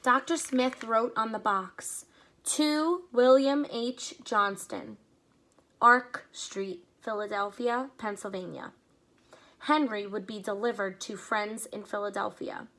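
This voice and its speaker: A woman teacher reading a picture book aloud to children, with expressive pacing and short explanatory asides. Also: mid-range voice, takes it slowly.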